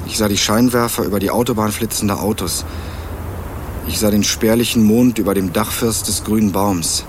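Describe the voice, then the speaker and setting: A man's voice: on the low side, normal speed, background noise, close to an everyday voice. An actor playing a laconic, guarded young male student from Berlin in a matter-of-fact radio crime drama. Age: young adult. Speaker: narrator and lead actor. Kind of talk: first-person radio crime drama narration and dialogue.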